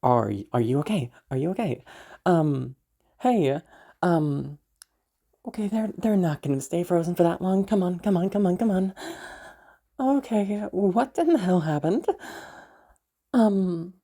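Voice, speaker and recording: A woman playing an anxious, sweary frost mage in an audio roleplay, muttering hesitantly and haltingly. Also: on the low side, expressive, warm tone. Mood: flustered, anxious. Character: flustered, sweary young frost mage trying to protect a visitor.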